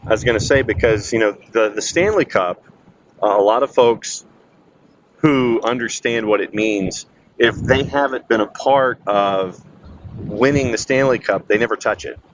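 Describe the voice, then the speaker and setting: A slightly muffled recording with faint background noise carries a man's fairly low voice. He hosts sports radio and asks interview questions in a conversational way. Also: moderate pace. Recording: dull lo-fi sound with faint noise.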